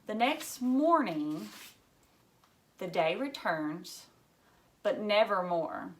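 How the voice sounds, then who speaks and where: A woman teacher reading a story aloud to children in an expressive way, emphasizing key words. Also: mid-range voice, extremely slow.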